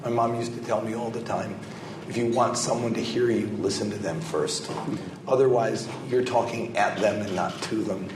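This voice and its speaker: A hoarse man with a cold speaks on a panel, his voice raspy and rather low. He is recorded in an echoey hotel conference room with clear background noise. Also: fairly even intonation, normal speed. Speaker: panelist, scholar.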